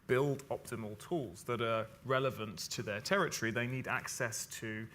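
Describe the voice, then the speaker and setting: A man's voice: medium pitch, normal speed, pushed and bright. A man answering as a panelist in an explanatory way, often repeating words as he starts a phrase. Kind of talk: panel discussion.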